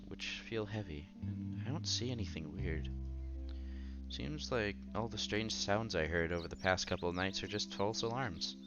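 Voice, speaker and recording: A man's voice: lowish voice, muffled recording. A man reading a visual novel aloud as a streamer, mixing narration with casual, conversational asides. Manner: breaks off to react conversationally. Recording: music under the voice. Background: background game music.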